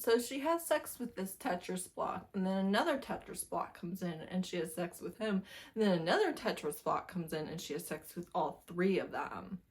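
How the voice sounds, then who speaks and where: A woman giving a casual, animated book review, telling plots informally with a giggly manner. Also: fairly low pitch. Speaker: book review video host. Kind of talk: casual chatty book wrap-up talk to camera.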